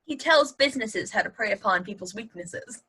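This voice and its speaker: Woman acting sardonic female relative, pointed delivery, sharp husky tone, animated, stage-play read. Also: medium pitch, moderate pace. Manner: dry, pointed sarcasm. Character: sardonic, needling woman mocking a relative's schemes.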